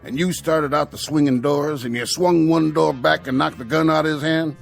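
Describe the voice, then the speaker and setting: An actor playing a sly, menacing Old West outlaw in an audio drama, with a gravelly American Western drawl and a folksy, needling way of talking. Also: male voice, a little high, fairly even intonation, normal speed. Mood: mocking. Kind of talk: radio drama acting. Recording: music under the dialogue.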